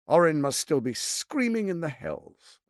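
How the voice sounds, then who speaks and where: An actor playing a scheming, arrogant middle-aged tyrant lord in a video game, with a pompous, silky, menacing delivery. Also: male voice, on the high side, very animated, measured pace, dry recording, clean studio sound. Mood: smug, silky. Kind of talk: theatrical video game voice acting.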